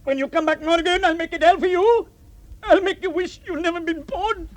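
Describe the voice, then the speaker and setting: A menacing miner. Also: male voice, wildly swooping pitch, unhurried, slightly rough, no room sound, full character voice. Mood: threatening. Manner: menacing, taunting, voice leaps high when angry. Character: bitter, threatening male miner.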